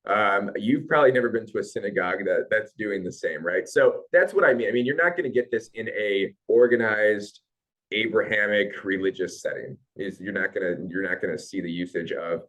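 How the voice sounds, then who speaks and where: A man's voice: lowish voice, brisk, a bit bright, low-quality recording. A man hosting a conversational discussion, stressing his point and repeating it to drive it home.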